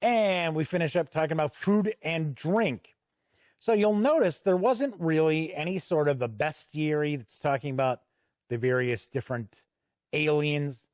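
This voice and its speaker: Higher-voiced man giving a casual product walkthrough. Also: a little mellow, no room sound, clean studio sound. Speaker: host of a tabletop game review show. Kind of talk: unscripted review commentary while paging through a product.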